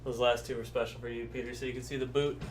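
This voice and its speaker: Fast-talking joking man streaming a raid. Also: medium pitch, flat delivery, a little hiss or hum. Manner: joking, teasing banter. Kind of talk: casual gaming stream chat.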